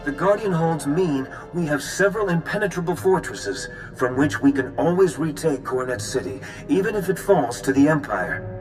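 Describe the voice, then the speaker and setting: Actor as a grave military commander, music behind. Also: male voice, a little high, expressive, moderate pace, lightly acted, low-quality recording. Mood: resolute. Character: grave, determined male Republic army commander. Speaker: military officer giving briefing. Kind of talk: video game cutscene acting.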